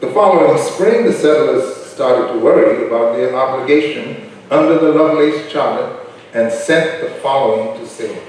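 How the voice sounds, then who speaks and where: A man's voice: mid-range voice, moderate pace, darkish tone, natural voice. A man reading scripted historical narration in a staged reading. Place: staged reading in a reverberant venue. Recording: echoey room from far off, noisy.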